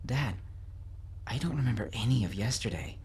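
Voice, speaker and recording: A man plays a confused young boy in an audiobook. The voice is lifted high above a grown man's, anxious and pleading. It hesitates, then rushes on earnestly. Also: lively intonation, darkish tone, slightly rough. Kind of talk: audiobook character acting.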